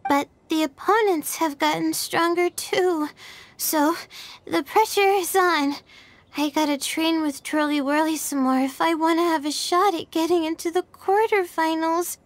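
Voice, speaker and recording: A woman voicing a sleepy, gentle young student in a video game, with a hesitant manner. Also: extremely high pitch, steady tone, measured pace, dry recording. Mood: earnest, worried. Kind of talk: video game character acting. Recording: music playing behind the voice.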